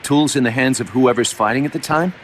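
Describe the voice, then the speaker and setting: An actor playing an eager, pragmatic younger mercenary deputy in a game cutscene, earnest and persuasive. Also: male voice, medium pitch, brisk, noisy background, natural voice. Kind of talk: video game cutscene voice acting.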